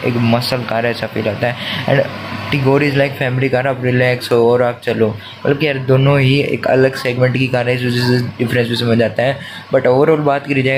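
A young man chatting casually in a vlog, mixing English words into his Hindi, filming himself at arm's length in a car and indoors. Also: medium pitch. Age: young adult. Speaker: vlogger. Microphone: handheld phone camera at arm's length. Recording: noisy handheld phone recording.

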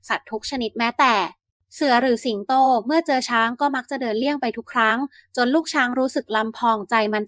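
A woman narrating Aesop-style fables for bedtime in an even, measured reading. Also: on the high side, dry recording. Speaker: storyteller.

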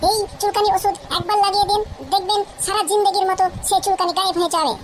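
A woman's voice: extremely high pitch, level tone, very fast, slight background noise. A woman voicing a cheeky, mischievous village huckster in a comedy cartoon, with boisterous street-seller patter. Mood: hawking patter. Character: mischievous cheeky village sidekick hawking cheap ointment. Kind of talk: comic cartoon dialogue.

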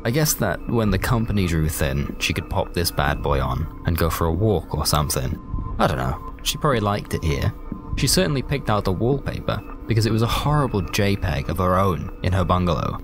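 A man's voice: low-pitched, sing-song, brisk. A British man narrating a scripted comic story in the first person with a wry, understated manner. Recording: loud music bed under the voice.

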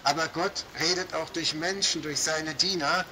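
A man's voice: a little high, steady tone, moderate pace, over a noisy crowd. A man from Berlin with a light Berlin accent, preaching a fervent open-air sermon on a shopping street and often saying "ja" and "Halleluja". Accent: light Berlin German. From Berlin, Germany. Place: outdoor shopping street under a small roof, rain. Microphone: small loudspeaker setup. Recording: noisy outdoor street ambience.

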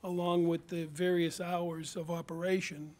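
An older board member at a public meeting, asking measured, procedural questions. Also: male voice, fairly high pitch, level tone, a little mellow. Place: town hall meeting room.

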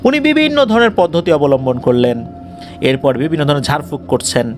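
A man's voice: a little high, wildly swooping pitch, a little fast, a little hiss or hum. A man hosting a horror show, telling a story to listeners in a dramatic, emphatic, suspenseful manner.

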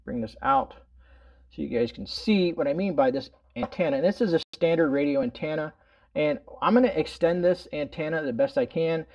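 A middle-aged man with a fairly high voice walks through a product demo. He talks informally and wanders through his explanations, tacking verbal tags onto phrases. The recording is slightly dull. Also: brisk, a little mellow. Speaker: host of a prepping product review channel. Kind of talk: casual product demonstration. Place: indoors in a room at home.

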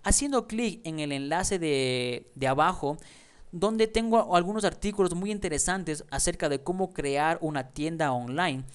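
Smooth-voiced man narrating a slideshow tutorial. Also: fairly high pitch, unhurried. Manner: friendly, addresses viewer directly as friend. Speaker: online business instructor.

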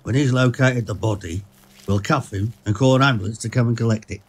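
A man's voice: mid-range voice, a little fast, lightly acted. A man playing a stern, dismissive senior police officer in an audio drama, with a curt, authoritative manner.

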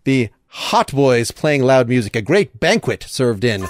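A man's voice: mid-range voice, sing-song, unhurried, dry recording. A man hosting a play reading, casually and wryly giving out stage directions.

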